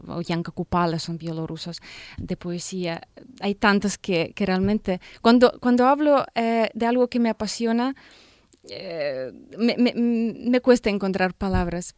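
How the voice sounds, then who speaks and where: A woman from Belarus, answering interview questions in Spanish, her second foreign language, and often pausing to search for words. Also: medium pitch, a little mellow, clean tone, dry recording. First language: Russian and Belarusian. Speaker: guest, translator and painter.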